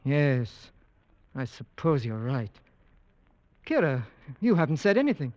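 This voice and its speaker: An actor playing a concerned, reasoning gentleman in a 1940s radio drama, articulate and emphatic. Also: mid-range voice, very animated, unhurried, no room sound. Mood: thoughtful. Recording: dull, dry old radio recording.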